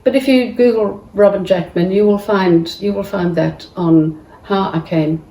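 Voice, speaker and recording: A woman giving a halting tribute speech with frequent restarts and pauses. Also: medium pitch, fairly even intonation, warm tone. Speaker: family member giving a tribute. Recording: echoey room, distant mic.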